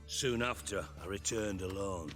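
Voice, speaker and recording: An actor playing a gruff, cynical middle-aged crime boss and former spymaster in a video game, sardonic and blunt. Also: male voice, sits low, a little slow, a bit bright. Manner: sardonic, blunt, foul-mouthed. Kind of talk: dramatic video game dialogue. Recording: background music behind the voice.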